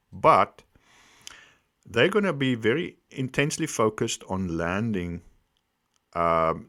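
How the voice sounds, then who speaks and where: Male analyst host, low-pitched, highly varied intonation, emphatic and deliberate, explaining off the cuff. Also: a bit bright. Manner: emphatic, deliberate, repeats phrases for stress. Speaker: host giving accident analysis. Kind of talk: explanatory commentary, unscripted.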